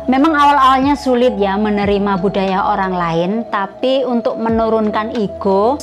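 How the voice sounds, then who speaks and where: A young woman from Malang, East Java, hosts her own vlog and answers viewer questions one by one. Her voice sits a bit high and her manner is relaxed and friendly. Music plays clearly beneath her. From Malang, East Java, Indonesia. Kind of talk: casual Q&A vlog. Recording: music playing underneath.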